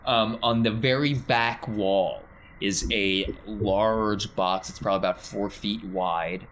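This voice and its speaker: A man's voice: on the low side, steady tone, slow pace, fairly bright tone, slight background noise. A man acting as game master, casually narrating a tabletop game with playful asides. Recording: music behind the voice.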